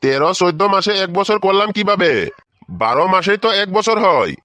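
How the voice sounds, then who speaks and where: A man's voice: high-pitched, animated, brisk, bright, forceful tone, dry recording, studio quality. A man voicing a fatherly but exasperated school official addressing children in a comedy cartoon, with exaggerated delivery. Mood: defensive. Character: exasperated adult man, schoolteacher and visiting company manager.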